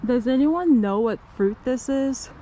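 A young woman vlogging casually as she explores an old fort, excitable and laughing often. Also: high voice, measured pace, slight background noise, poor audio. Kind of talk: casual chat while exploring.

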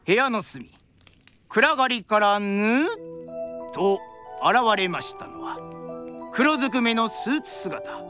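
A woman's voice: on the high side, expressive, slow pace, pauses often, over music. A woman narrating a drama from a script in a theatrical, traditional storyteller's style.

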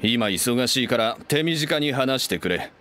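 A man's voice: medium pitch, lively intonation, a little slow, a bit bright. A man playing a busy, coolly polite young man in an anime game, offhand and dismissive. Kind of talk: Japanese anime game voice acting. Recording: faint background noise and ambience.

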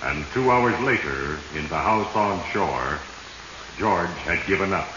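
An actor playing Fate, an ominous, heavy-handed narrator gloating over doomed men in a 1940s radio drama. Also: male voice, sits low, expressive, unhurried. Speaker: host narrator. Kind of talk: 1940s radio acting, second-person narration. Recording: muffled, noisy, echoing 1940s radio recording.

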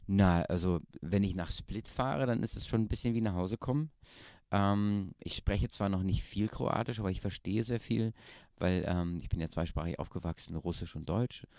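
A man in his thirties talks easily through an interview, his voice low and mellow. He hesitates often with fillers and restarts, staying relaxed. The handheld mic sounds dry and close. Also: normal speed, no room sound. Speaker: actor interviewee. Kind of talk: casual interview answers. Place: small indoor room with pale walls. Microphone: handheld microphone.

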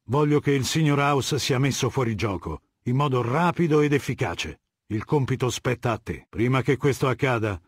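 A man's voice: medium pitch, no echo, close to an everyday voice. Man playing an imperious, ruthless warlord, closely dry. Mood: stern command. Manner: commanding, measured, scornful. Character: imperious, ruthless middle-aged male warlord. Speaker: video game voice actor. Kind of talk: dramatic game dubbing, scripted dialogue.

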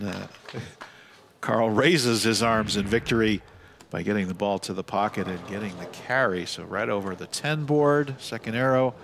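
A man doing live bowling commentary, giving measured analysis with excited calls on shots. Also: fairly low pitch, vocal fry, a little hiss or hum. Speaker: sports commentator.

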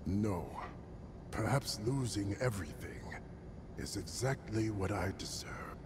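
A man playing a proud, anguished aging military commander in a video game, grave and dramatic, with a gravelly voice. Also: fairly low pitch, unhurried, background noise, natural voice. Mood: bitter despair. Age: older. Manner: grave, dramatic, brooding delivery. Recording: long reverb under music.

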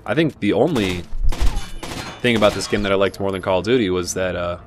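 A man giving relaxed, chatty commentary over a video game playthrough, with frequent asides and fillers. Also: low voice, fairly quick, background noise. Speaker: game guide narrator. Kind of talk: casual gameplay commentary. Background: game music and gunfire.

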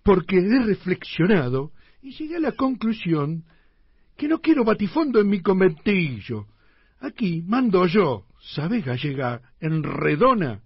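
Slow, husky, cocky Argentine tenement man acted out. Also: mid-range voice, very animated, no room sound, highs cut off, exaggerated voice. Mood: stubborn. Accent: Argentine, Buenos Aires slang. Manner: slangy, emphatic, drawn out. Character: cocky, stubborn male tenement dweller. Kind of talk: comic stage radio play acting.

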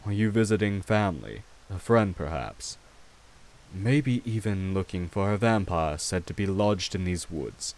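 Deep-voiced courtly vampire king, acted by a man. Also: expressive, darkish tone. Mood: teasing, concerned. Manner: formal, unhurried, reassuring. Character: courtly, protective male vampire king, gentle and wry. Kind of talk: ASMR roleplay, speaking to the listener.

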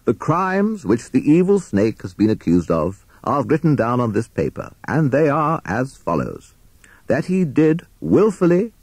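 A pompous owl lawyer from a children's storybook, played by a man. He speaks in self-important legal oratory, with a husky edge to the voice. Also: medium pitch, expressive, normal speed, mellow, dry recording, voice pushed up, poor audio.

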